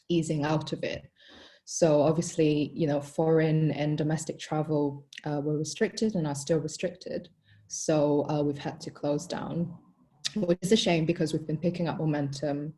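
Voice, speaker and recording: A young woman with a low, mellow voice talks about her hotel business in a relaxed interview. She is from Hong Kong, Italy and Malaysia. She thinks aloud, with plenty of ums and "you know". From born in Hong Kong, raised in Italy and Malaysia. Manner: thoughtful, many ums and "you know" fillers. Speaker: hotel co-founder, guest. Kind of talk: casual interview answers.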